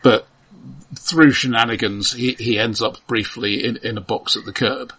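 A man co-hosting a casual film discussion, explaining in a halting, considered way. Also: medium pitch, fairly even intonation, fairly bright tone, slightly rough, low-quality recording. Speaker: podcast co-host.

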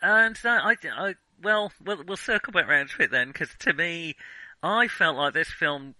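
Bright, hesitant man discussing film. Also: a little high, expressive, dry recording, rough recording. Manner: hesitant, halting, self-correcting mid-sentence. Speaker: film podcast co-host.

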